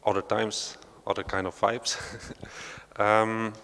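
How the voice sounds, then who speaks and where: A man from Belgium gives an unscripted talk to a panel audience, low-pitched and fairly flat in his melody. He hesitates a lot, filling gaps with "uh" and "um" and restarting his sentences. His voice carries a long reverb from the large hall. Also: slow pace, a little hiss or hum. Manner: hesitant, many fillers and restarts, non-native phrasing. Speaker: panel speaker, researcher. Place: large hall of an art centre.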